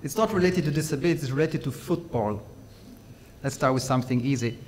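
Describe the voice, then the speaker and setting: A middle-aged man with an Austrian accent giving a workshop lecture, measured and pausing often with fillers. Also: mid-range voice, a little hiss or hum.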